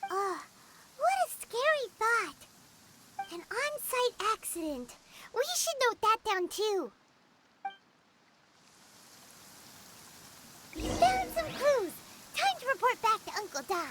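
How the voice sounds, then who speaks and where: Tiny squeaky companion creature. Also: female voice, a little slow, exaggerated voice. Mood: uneasy. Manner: excitable, exclaiming, childlike. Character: tiny chirpy female floating companion creature. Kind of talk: video game voice acting. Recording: loud background noise.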